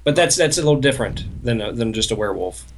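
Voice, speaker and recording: Podcast guy, medium pitch, halting explainer, kinda earnest know-it-all. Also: lively intonation, a little hiss or hum. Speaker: podcast co-host. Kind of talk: casual explaining in group chat.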